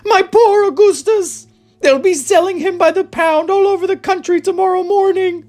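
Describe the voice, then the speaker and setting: A shrill, frantic storybook mother. Her voice is extremely high and piercing, and she screams and scolds with indignation over faint music. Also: moderate pace, darkish tone. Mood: indignant. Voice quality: piercing shriek.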